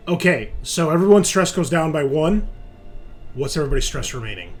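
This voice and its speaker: A man's voice: a little high, expressive, a little fast, faint music behind. A man speaking as game master, directing players through a tabletop roleplaying game in an organized way.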